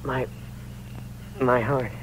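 A man's voice: mid-range voice, fairly even intonation, drawn-out words, fairly bright tone. A man acting a sardonic, fatalistic heir who taunts and goads in theatrical 1940s radio drama style. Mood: weak, mocking. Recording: muffled old radio recording, faint noise.

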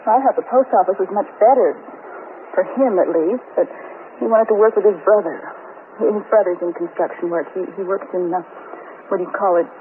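A woman's voice: mid-range voice, brisk, close to an everyday voice. An actress playing a weary young woman separated from her husband, in a plaintive, complaining manner, in a 1950s radio drama. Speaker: witness. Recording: tinny lo-fi old radio broadcast with hiss.

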